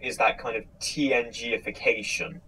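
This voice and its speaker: A man's voice: medium pitch, steady tone, moderate pace, pushed and bright, a little hiss or hum, poor audio. A man in casual chat, speaking hesitantly as he thinks aloud. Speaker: podcast host.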